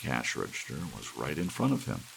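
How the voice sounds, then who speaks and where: A man reading an audiobook story in a dramatic, hushed storytelling style, as a narrator. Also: a bass voice, brisk, vocal fry, low-quality recording. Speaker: audiobook reader. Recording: steady hiss of soft rain. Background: soft rain.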